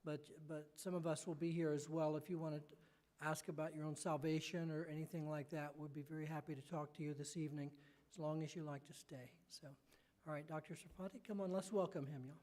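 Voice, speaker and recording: A man's voice: on the high side, steady tone, a little fast, a little mellow. A man speaking as a pastor, praying and giving informal announcements to a congregation. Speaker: pastor opening the meeting. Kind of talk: prayer and informal church announcements.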